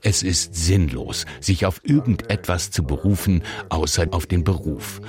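Guy voicing a cocky young poet, low voice, declaiming his lines with emphasis. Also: measured pace, crowd noise. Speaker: voice actor reading a poet's quotes. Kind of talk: dramatic reading of quotations.

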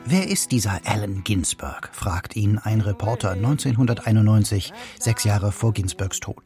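A man narrates a scripted radio feature in a measured storytelling manner. His voice sits a little low. A clear music bed runs underneath. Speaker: radio reporter.

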